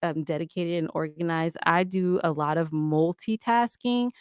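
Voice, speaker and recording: A woman's voice: lowish voice, moderate pace, smooth, no room sound, studio quality. A middle-aged woman talking casually as a podcast guest, chatty and candid, with playful asides. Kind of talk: casual interview talk.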